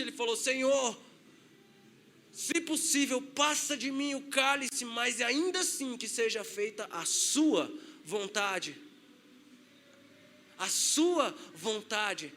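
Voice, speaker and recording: Brazilian male pastor, very high and bright, casual sermon, faint hiss. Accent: Brazilian Portuguese. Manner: conversational preaching with acted-out quotes.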